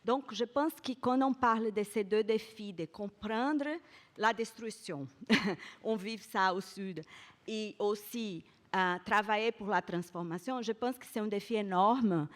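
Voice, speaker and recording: A woman's voice: mid-range voice, a little slow. A woman from Brazil, a native Portuguese speaker with a Brazilian accent, spontaneously putting a question as an audience participant. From Florianópolis, Brazil.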